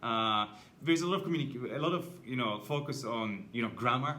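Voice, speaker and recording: Bright-voiced Greek-born man. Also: medium pitch, fairly quick, slight background noise. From Greece. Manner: easygoing, many fillers and restarts. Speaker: English teacher. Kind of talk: casual conversation.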